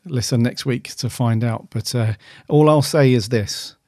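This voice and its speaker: A man's voice: mid-range voice, measured pace, darkish tone, no echo, studio quality. A man co-hosting a podcast in casual, easygoing, friendly chat. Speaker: podcast co-host.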